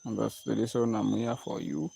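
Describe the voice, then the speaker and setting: Very gravelly man hosting a live lesson. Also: lowish voice, little pitch movement, normal speed, dark tone. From Kenya. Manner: repetitive, coaxing viewers to answer. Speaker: music teacher hosting a live stream. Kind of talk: live-stream Q&A with viewers.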